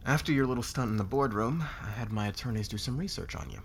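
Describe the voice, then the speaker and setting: A man playing a demanding, calculating corporate boss in a scripted ASMR roleplay, with a sardonic, deliberate, commanding manner. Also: mid-range voice, a little hiss or hum, lightly acted. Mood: cool, interrogating.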